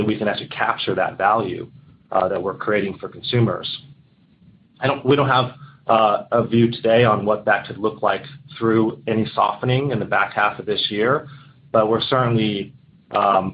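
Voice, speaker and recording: A man speaking as a company executive on an earnings call, halting and repeating his words as he answers. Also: fairly low pitch. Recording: muffled conference line, faint noise.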